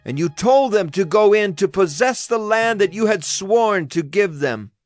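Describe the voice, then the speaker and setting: An actor playing a devout Levite praying aloud to God, solemn and declamatory, in a dramatized scripture reading. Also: male voice, high-pitched, animated, measured pace, dry recording, clean studio sound, faint music behind. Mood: solemn reverence.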